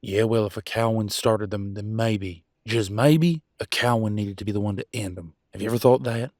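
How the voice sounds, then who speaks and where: A man playing a conflicted werewolf pack alpha in an audio roleplay, arguing emphatically in colloquial, sweary speech with dramatic pauses. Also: lowish voice, a little mellow, no room sound, studio quality, lightly acted. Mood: defiant. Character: Damien, conflicted male werewolf pack alpha, defiant and remorseful. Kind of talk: scripted audio roleplay, one side of an argument.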